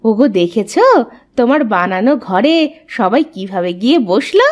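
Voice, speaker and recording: A woman playing a spirited, expressive village wife in a cartoon story. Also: on the high side, measured pace. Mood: caring. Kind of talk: Bengali cartoon story voice acting.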